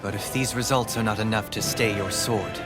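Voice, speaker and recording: A man plays a self-assured young nobleman in a fantasy game. He speaks in polished, formal phrases with calm courtesy, over prominent music. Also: fairly low pitch, moderate pace, close to an everyday voice. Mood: stern. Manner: formal, eloquent, composed. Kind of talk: dramatic video game voice acting. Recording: orchestral game music behind voice.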